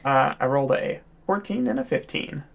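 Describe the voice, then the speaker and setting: A man with a slightly high voice playing a tabletop game. He is casual and eager, quick to jump in with questions about rules. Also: big pitch swings, gravelly. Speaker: player.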